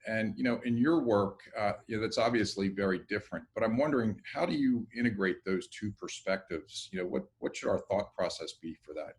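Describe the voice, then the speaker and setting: Male host, lowish voice, measured delivery with fillers. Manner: measured, uses many fillers. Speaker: interview host, designer. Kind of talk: hosted interview.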